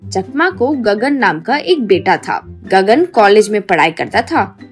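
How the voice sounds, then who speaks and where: A woman narrator reading a scripted story for an animated video. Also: mid-range voice, unhurried, soft background music.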